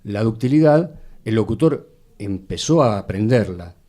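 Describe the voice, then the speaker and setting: A man with an Argentine accent talks in a relaxed panel conversation. His voice is dark and mellow, pitched a little low. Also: a little slow. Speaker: voice professional, panelist. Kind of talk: conversational discussion.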